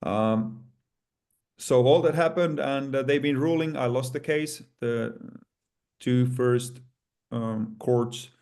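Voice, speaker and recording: A man with a Finnish accent, a native Finnish speaker, talking as a guest in an interview in a halting way with many fillers. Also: mid-range voice, darkish tone. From Finland. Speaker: plaintiff in a lawsuit, guest. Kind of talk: casual interview talk.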